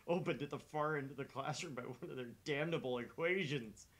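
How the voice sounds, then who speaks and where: A man playing a laddish monster hunter, a gravelly voice and a roguish, theatrical storytelling manner. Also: mid-range voice, brisk, lightly acted. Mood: grim, melodramatic. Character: cheeky, laddish British monster hunter tour guide.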